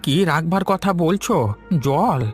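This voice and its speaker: Man playing ailing old village husband, cartoon, plaintive, self-pitying, slightly high voice, music behind. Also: slow, slightly rough. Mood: puzzled. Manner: plaintive, complaining. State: sick, coughing. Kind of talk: cartoon voice acting.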